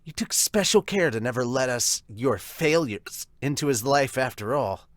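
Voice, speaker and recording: A man's voice: mid-range voice, animated, normal speed, dry recording, studio quality, close to an everyday voice. A man voicing a young man lashing out at his father with bitter sarcasm, while fighting hiccups. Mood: sarcastic. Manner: bitter, sarcastic, rising to shouting.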